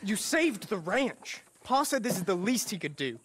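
An actor playing a polite, eager young ranch lad in a video game. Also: male voice, high voice, very animated, moderate pace, noisy background. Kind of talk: video game cutscene acting.

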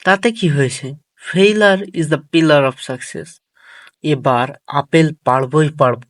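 A man's voice: fairly high pitch, expressive, slow pace. A man voicing a mischievous, self-assured boy who takes charge in a children's cartoon fairy tale. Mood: confident.

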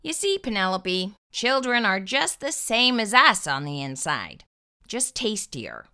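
Woman reading as a gentle T-rex dad. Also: mid-range voice, sing-song, a little slow, heavily acted. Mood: reassuring. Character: gentle, reassuring T-rex father with dry humour.

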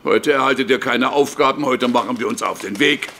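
A man's voice: medium pitch, fairly quick, fairly bright tone, slight background noise, natural voice. A man playing a fretful, kindly but strict teacher bat in a children's audio drama, hesitant and fussy. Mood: firm, fussy.

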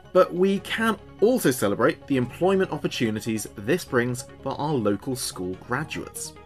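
A man playing a chatty small-town late-night radio host in an audio drama, with playful, wry patter. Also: mid-range voice. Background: background music.

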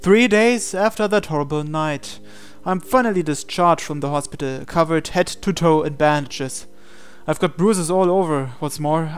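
A man's voice: on the high side, clear, resonant tone. A man reading a visual novel aloud as dramatic narration.

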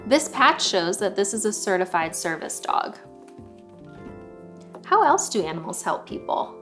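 A young woman hosts a story time for little kids. She reads aloud at a medium pitch and speaks in a friendly, chatty way. Soft music sits faintly behind her. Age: young adult. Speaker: children's story time presenter. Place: living room sofa, indoors.

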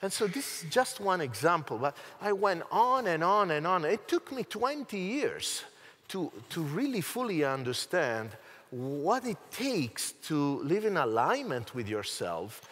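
A middle-aged man from Italy speaking on a conference panel with a headset mic, animated, with frequent fillers. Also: a little high, unhurried. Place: large conference stage. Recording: reverberant hall.

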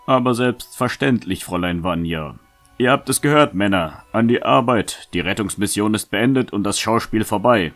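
A man playing a gallant, courteous leader in a fantasy audio drama, formal and composed with quiet authority. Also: on the low side, normal speed, faint music behind, close to an everyday voice. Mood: courteous, commanding.

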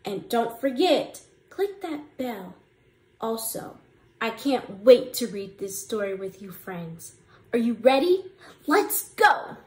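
A woman hosting a children's read-aloud, narrating rhyming storybook lines in an expressive, deliberate and emphatic way for young listeners. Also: fairly high pitch, husky, no echo, rough recording.

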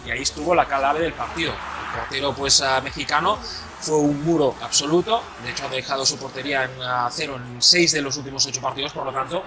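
A man's voice: medium pitch, brisk, poor audio. A man giving fluent, enthusiastic studio football analysis. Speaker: football pundit. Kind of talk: studio commentary. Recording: music over the voice.

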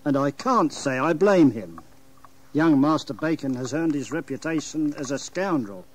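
Casting: deferential, judgemental manservant, lively intonation, slightly high pitch, radio play. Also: male voice, normal speed. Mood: disapproving. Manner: formal, deferential, disapproving. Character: respectful, disapproving manservant of a gentleman's household. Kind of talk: audio drama acting.

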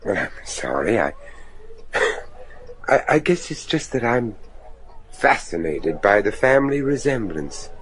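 Gothic radio drama: earnest, probing judge, middle-aged, formal and hesitant, very animated intonation, slight background noise. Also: male voice, fairly low pitch, rough recording. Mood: polite, hesitant. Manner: hesitant, deliberate, formal, searching. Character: earnest, probing father, a judge hunting his lost son.

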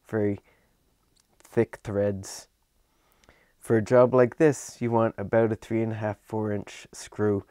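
A man's voice: on the low side, darkish tone, dry recording. Man explaining basement insulation, dry sound. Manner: explanatory, points and gestures while talking. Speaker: home energy auditor. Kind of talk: instructional walkthrough. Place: small unfinished basement with concrete block walls.

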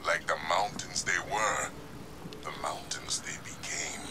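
Slow, hushed male storyteller. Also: low-pitched, in a whisper. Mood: solemn, wistful. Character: male storyteller solemnly recounting an ancient legend. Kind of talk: scripted storytelling in a video game audio log.

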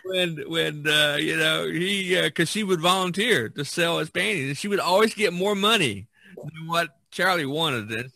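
Bright-voiced man telling stories casually. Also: on the high side, moderate pace, gravelly, dry recording. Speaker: podcast host. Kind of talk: casual conversational storytelling.

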